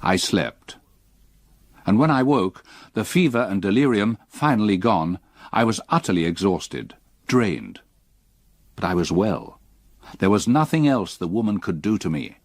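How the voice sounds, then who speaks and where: Deep-voiced, haunted gothic narrator. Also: male voice, very animated, no room sound, heavily acted. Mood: drained, relieved. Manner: measured, literary, brooding. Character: older haunted man narrating his past ordeal, grave and weary. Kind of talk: dramatic first-person gothic radio narration.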